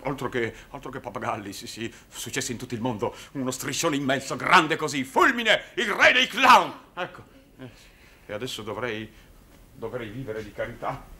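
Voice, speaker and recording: An actor playing a proud, downtrodden middle-aged circus clown who stammers and repeats himself theatrically. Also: male voice, mid-range voice, animated, normal speed, pushed and bright. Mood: proud, agitated. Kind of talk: 1960s Italian television drama acting. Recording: noisy old television soundtrack.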